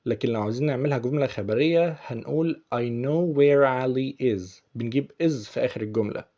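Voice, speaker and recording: Brief: Egyptian male teacher, mellow dark voice, dull lo-fi recording. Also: medium pitch. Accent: Egyptian Arabic. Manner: patient, repeats phrases slowly before explaining. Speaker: English teacher. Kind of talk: explaining film lines in a language lesson.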